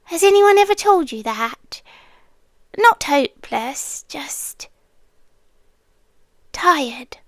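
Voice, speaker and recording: Casting: actress as doting, possessive British night nurse for ASMR roleplay, high hushed voice, slow and secretive, close-miked. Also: female voice, lively intonation, no room sound, full character voice. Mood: tender, probing. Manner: hushed, intimate, slipping into whispers. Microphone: close microphone in a dry room.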